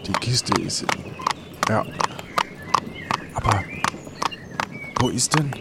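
A man playing a helpful, naive gatekeeper in an improvised pirate audio play, talking in a casual, halting way. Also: on the low side, expressive. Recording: faint background noise.

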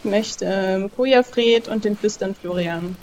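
A woman taking part as a player in a casual role-play game, questioning and deliberate. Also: medium pitch, a little mellow, slight background noise, rough recording. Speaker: player, podcast listener guest.

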